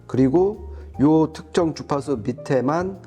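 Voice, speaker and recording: A man with a mellow, relaxed voice talks through a product review. He explains things step by step and often rephrases himself. Faint music plays behind him. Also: on the high side, takes it slowly. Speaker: audio reviewer and co-host.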